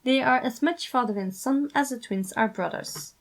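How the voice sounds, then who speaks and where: A woman's voice: sits high, normal speed, pitched above the performer's own voice. A woman voicing a pedantic, logical man in a story, explaining in a precise, lecturing manner. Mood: matter-of-fact.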